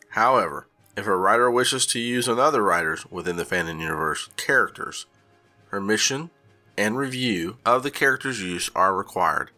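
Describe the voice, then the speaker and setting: A man reading a scripted announcement, setting out group rules in a measured way like a charter. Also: sits low, pushed and bright. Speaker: channel co-founder.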